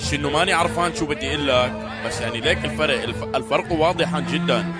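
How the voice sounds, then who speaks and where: Brief: man as a swaggering boss, quick talker. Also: a little high, over loud music, close to an everyday voice. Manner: swaggering, rhyming boasts. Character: boastful young male gang boss, Abu Abd.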